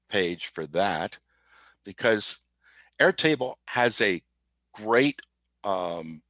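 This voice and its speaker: A man explaining software informally as an interview guest, methodical and somewhat halting in his delivery. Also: on the low side, no room sound. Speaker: podcast guest, IT specialist. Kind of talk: informal software demo talk.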